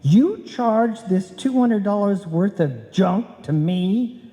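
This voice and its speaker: An actor playing a wry, exasperated, penny-pinching husband in a live old-time radio comedy. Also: male voice, high voice, takes it slowly, mellow. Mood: indignant. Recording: dull, echoey hall stage recording.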